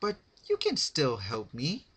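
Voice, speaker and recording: A man's voice: mid-range voice, huge pitch swings, measured pace, fairly bright tone, husky, voice pushed up, exaggerated voice. A man voicing a bubbly, childish, sadistic female cartoon pony with a sing-song, taunting manner. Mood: gleeful menace.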